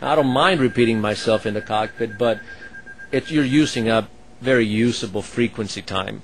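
A man with a fairly low voice who supervises air traffic controllers and also flies as a pilot. He explains how things work in a halting way, full of "uh" and restarts. There is a faint hum in the background. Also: poor audio. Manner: hesitant, many fillers and restarts. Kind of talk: explaining his work to an interviewer.

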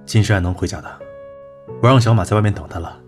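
A man voices a gruff, blunt executive who scolds and protects in the same breath. His voice sits low and commanding over a swell of drama music. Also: quick pace, close to an everyday voice. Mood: reassuring. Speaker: company boss. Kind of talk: audio drama acting. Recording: studio voice with music bed.

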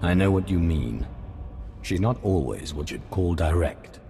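Deep commanding Sith lord. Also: male voice, animated, gravelly, dark tone, a little hiss or hum, full character voice. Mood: measured. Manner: curt, measured, authoritative. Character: cool, commanding young male Sith lord. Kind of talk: video game cutscene acting. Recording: music under the dialogue.

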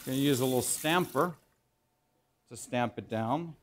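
Middle aged guy doing a chef demo, mid pitch, talks informally n kinda halting, repeats himself to make a point. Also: a little hiss or hum. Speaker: chef giving a cooking demonstration. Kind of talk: instructional cooking demo, explaining while working. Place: indoor professional teaching kitchen.

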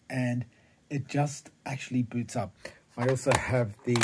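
An Australian man talks casually through a hands-on hobby demo. His medium-pitched voice moves in short, halting phrases. It is captured on a handheld phone indoors, with slight background noise and clicking. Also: mellow. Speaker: retro computer hobbyist presenter. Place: small cluttered room indoors.

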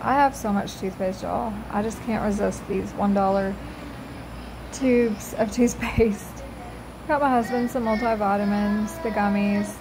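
A woman's voice: mid-range voice, steady tone, noisy background. A middle-aged woman, chatting through a casual voice-over about her weekly shopping. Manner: chatty, slow, bargain-focused, says y'all. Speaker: frugal living vlogger.

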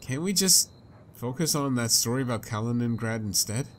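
Cautious young female game journalist, played by a man, pleading, mid male pitch. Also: animated, normal speed, a little hiss or hum, close to an everyday voice. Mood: worried. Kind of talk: reading visual novel dialogue aloud. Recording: game music behind.

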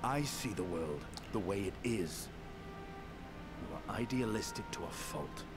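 A man playing a grave, quietly loyal elven warrior in a video game, speaking in a measured, solemn way. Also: lowish voice, expressive. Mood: grave, earnest. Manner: slow, measured, solemn delivery. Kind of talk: video game cutscene acting. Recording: game audio with music underneath.

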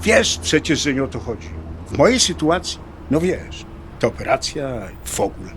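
Blunt middle-aged biker, traffic noise behind. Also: male voice, a little high, sing-song, moderate pace, a bit bright. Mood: regretful, worried. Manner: colloquial, blunt. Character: anxious middle-aged atheist motorcyclist, blunt and joking. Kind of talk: dialogue acting in an audio drama.

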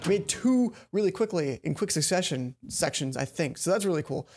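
Young woman reading out a visual novel, lowish voice, game music behind her. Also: expressive, a little fast. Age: young adult. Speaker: let's play host. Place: indoor room, seated at a computer.

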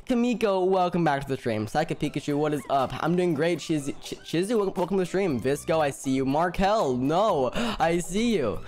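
A man's voice: fairly high pitch, lively intonation, normal speed, hardly any pauses, a little mellow. A young man live-streaming a game and chatting with viewers casually, stuttering often and repeating words. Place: home room, indoors. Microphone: headset. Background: music playing.

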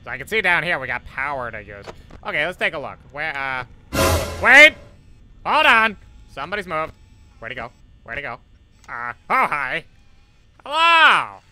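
Man playing a bright, excitable, nervous cartoon teacher. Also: a little high, normal speed, long pauses, slight background noise, exaggerated voice. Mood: cautious, then scared. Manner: exclamatory, repeating questions, easily flustered. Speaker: gameplay video host. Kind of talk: in-character horror game play commentary.

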